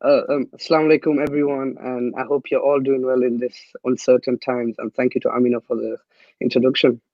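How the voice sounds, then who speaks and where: Young man speaking earnestly and haltingly. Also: medium pitch, flat delivery, no echo, lo-fi sound. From Myanmar. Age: young adult. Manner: earnest, halting, many restarts. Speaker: guest activist. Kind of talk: interview answers.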